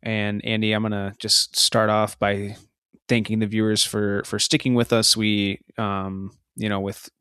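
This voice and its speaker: Podcast co-host, man, lowish voice, rambling match recaps, dry sound. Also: little pitch movement, measured pace, fairly bright tone, clean tone, no room sound. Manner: rambling, chatty play-by-play recaps. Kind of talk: casual soccer podcast chat.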